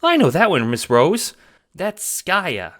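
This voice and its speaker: A man voicing an eager, nervous, childlike cat sprite in an audio drama, hesitant and excitable. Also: fairly high pitch, slow pace, voice pushed up, exaggerated voice. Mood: eager, proud.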